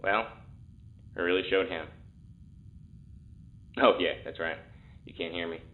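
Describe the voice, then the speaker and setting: An actor plays a sardonic man stuck as a test subject in an audio drama. His low voice is deadpan and flippant, often muttered. It comes through narrow and tinny, like a phone line. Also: measured pace. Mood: sheepish. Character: sardonic, irreverent young male test subject. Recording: thin, phone-like intercom sound.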